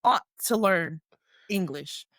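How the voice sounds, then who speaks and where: A woman's voice: fairly low pitch, slow pace, dry recording, studio quality. A woman in her twenties, born in Haiti and raised in South Florida, chatting as an interview guest and telling stories with lots of "like" fillers. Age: 20s. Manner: chatty, animated storyteller, many "like" fillers. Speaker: guest, professional wrestler. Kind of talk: casual interview chat.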